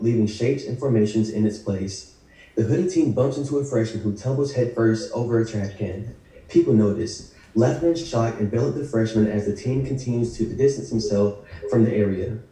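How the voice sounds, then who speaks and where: Male script narrator, scripted action lines, very dark timbre, roomy and off-mic. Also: medium pitch. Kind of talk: reading screenplay action lines at a table read. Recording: very echoey room, distant mic.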